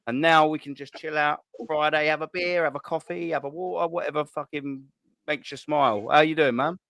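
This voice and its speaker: A man's voice: on the high side, steady tone, brisk, vocal fry, fairly bright tone, dry recording. A man hosting a football call-in show, chatting casually with lots of slang, swearing and fillers. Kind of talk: casual live-stream chat.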